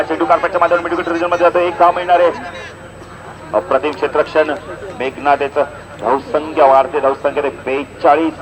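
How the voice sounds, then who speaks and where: A man's voice: a little high, poor audio. A man with a Maharashtrian accent doing live cricket commentary and announcements. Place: outdoor cricket ground. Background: crowd.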